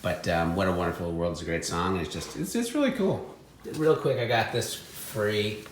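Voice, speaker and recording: A man's voice: low voice, animated, normal speed. A man co-hosting a secondhand haul video, chatting off the cuff with enthusiasm and prompting his partner. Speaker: co-host of a thrifting haul video. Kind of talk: casual unscripted chat and commentary. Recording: roomy echo, some background noise.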